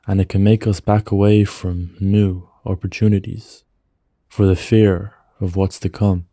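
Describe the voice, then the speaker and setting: A man narrating a guided meditation in a soothing, gentle manner, with measured phrases and pauses, close on the mic. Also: lowish voice, dry recording.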